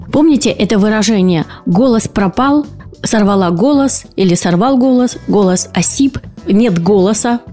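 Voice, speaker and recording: A woman's voice: medium pitch, dark tone. A woman speech and voice therapist hosting an educational podcast, explaining patiently and posing rhetorical questions to her listeners.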